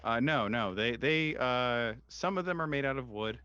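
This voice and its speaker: A man running a tabletop horror game, improvising his narration in halting, hesitant phrases as game master. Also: medium pitch, lively intonation, pushed and bright, clear, resonant tone, dry recording, lo-fi sound. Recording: music behind the voice. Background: background music.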